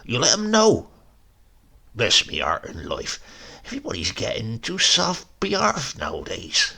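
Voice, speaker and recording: A crusty old country rogue, as a storyteller puts him on, grumbling in broad rustic speech with a gravelly edge. His pitch swings up and down as he defends his mischief, roguish and unrepentant, straight out of a storybook. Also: huge pitch swings, unhurried, sharp tone, exaggerated voice. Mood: gruff defiant. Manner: dialect-heavy, crusty, grumbling. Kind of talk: storybook reading.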